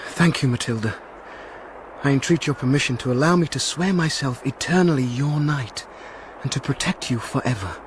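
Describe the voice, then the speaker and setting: An actor playing an ardent, chivalrous young hero in a gothic audio drama, with a courtly, earnest, theatrical delivery. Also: male voice, mid-range voice, moderate pace, very noisy, lightly acted. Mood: tender, devoted. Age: young adult. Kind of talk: period audio drama acting.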